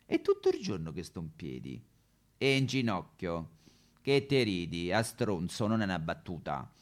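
A male actor puts on a Roman streetwalker, a bitter, lonely woman on a theatrical stage monologue. The delivery is coarse and confrontational, full of slang. The pitch swings widely from line to line. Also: wildly swooping pitch, moderate pace. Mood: weary. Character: brash, weary Roman female street prostitute, bitter and lonely.

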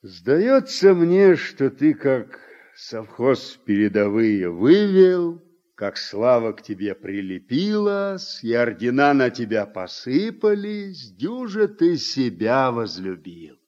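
A blunt, fatherly middle-aged farm man in a Soviet radio play. He speaks slowly and deliberately in earthy colloquial phrases, at a medium male pitch. The recording is old and muffled. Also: sing-song, a little mellow. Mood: reproachful. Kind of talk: Soviet radio drama acting.

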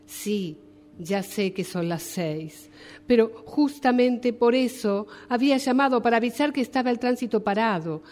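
A woman acts a polite job applicant in a radio play, hesitant and apologetic. Her voice is fairly high, and she speaks slowly and carefully into the phone. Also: a little mellow, natural voice. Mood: polite, anxious. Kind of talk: radio drama acting, one side of a phone call.

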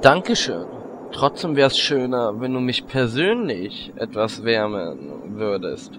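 A man's voice: mid-range voice, sing-song, a little slow, noisy background. A man playing a boastful, flirtatious warlock in a comedic audio drama, with a cocky way of talking. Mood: sardonic.

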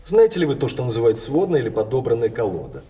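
Proud card sharp, played by a man, sounding distant. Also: mid-range voice, sing-song, fast, close to an everyday voice. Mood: confiding, eager. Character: cool-headed, proud card sharp, boastful of his craft. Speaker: stage actor. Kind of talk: theatrical radio play acting.

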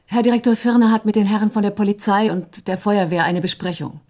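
An actress playing a cool, quick-witted company secretary in a German radio drama, composed and wryly teasing. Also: female voice, mid-range voice, darkish tone, lightly acted. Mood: composed, polite.

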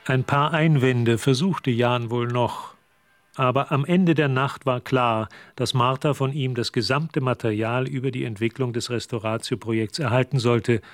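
A man acts as a calm male storyteller for a radio play. His mid-pitched voice delivers literary narration in a measured, composed way. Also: no room sound, natural voice. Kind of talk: scripted radio drama narration.

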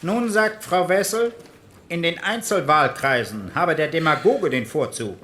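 An actor playing a conservative politician who firmly rebuts a rival in a parliament debate in a radio play. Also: male voice, a little high, expressive, measured pace, bright, forceful tone, slight background noise. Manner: firm, argumentative. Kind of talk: historical radio drama acting.

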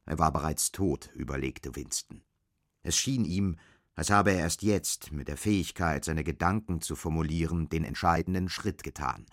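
An actor playing the sober narrator of a bleak dystopian tale in a scripted radio play. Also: male voice, extremely low pitch, moderate pace, fairly bright tone, no echo, clean studio sound. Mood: sober, measured.

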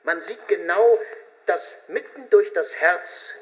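Higher-voiced male narrator in heavy reverb. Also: takes it slowly. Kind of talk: scripted documentary radio play narration. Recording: echoing room with long reverb.

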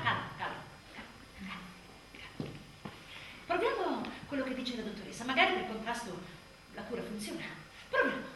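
Worn out new mom, bright highish voice, sardonic theatre monologue, way off mic in a roomy space. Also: female voice, full character voice. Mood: frantic, then weary. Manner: dramatic, sardonic, swinging from muttered to shouted. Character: exhausted, exasperated new mother, bitterly funny. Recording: roomy distant theatre sound, faint noise.

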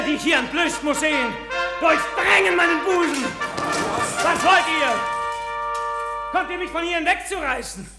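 A man's voice: very high voice, full character voice. An actor playing a passionate, anguished young nobleman officer in a 1950s German radio verse drama, declaiming fervently. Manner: declamatory, fervent, drawn-out delivery. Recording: 1950s radio production with music.

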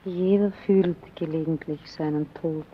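An actress in a German radio play playing an earnest young lady visiting a lonely lighthouse, quiet and questioning. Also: low voice, fairly even intonation, a little slow, narrow, tinny audio, a little hiss or hum.

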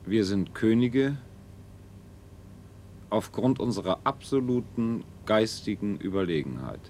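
An actor playing a cold, imperious ruler-scientist in a science fiction radio drama, speaking in a clinical, authoritative way. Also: male voice, lowish voice, expressive, a little slow, darkish tone, a little hiss or hum.

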